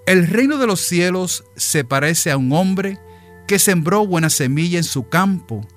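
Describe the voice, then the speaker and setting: Casting: a New York-born man of Puerto Rican descent, a deacon hosting a radio show. Slightly high voice, easygoing and chatty. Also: animated, measured pace, soft background music. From New York, of Puerto Rican parents. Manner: chatty, folksy, with frequent "eh" fillers. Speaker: radio program host, deacon. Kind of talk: casual radio talk and Bible reading.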